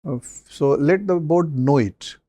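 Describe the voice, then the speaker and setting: A man, a mock interview panelist giving measured advice with many pauses and fillers. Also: a little high, mellow, no echo.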